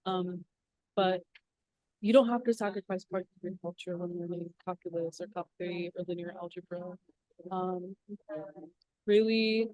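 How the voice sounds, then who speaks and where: A woman's voice: lowish voice, fairly even intonation, moderate pace, pauses often, darkish tone, no echo, poor audio. Earnest young woman panelist. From southwest Alaska. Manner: earnest, encouraging, many fillers. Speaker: panelist, engineer. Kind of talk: casual panel talk.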